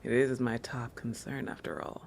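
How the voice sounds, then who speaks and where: A woman playing a playful, possessive, territorial shark girl in an intimate roleplay audio, teasing and self-assured, with breathy asides that drop into whispers. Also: very deep voice, lively intonation, normal speed, a little mellow, a little hiss or hum, exaggerated voice. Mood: smug, possessive.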